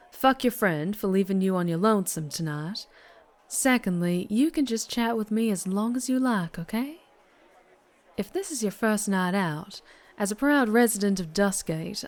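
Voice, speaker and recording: A woman's voice: mid-range voice, lightly acted. A woman playing a flirtatious, doting but fierce vampire biker in an ASMR roleplay, with a Southern US accent and a teasing manner. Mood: concerned, protective. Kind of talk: ASMR roleplay, intimate character monologue.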